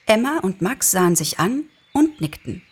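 A woman with a husky voice at a middle pitch narrates a story. Her reading is scripted and expressive, in the manner of an audiobook. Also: normal speed.

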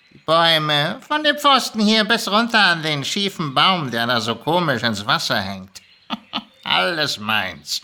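Casting: a woman playing a small, grubby male storybook goblin. Very sharp, forceful tone, swinging pitch, boastful and unfazed. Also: heavily acted. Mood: smug boasting. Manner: casual, colloquial, drawling, unfazed, boastful. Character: cocky, laid-back small grubby male goblin with big nose.

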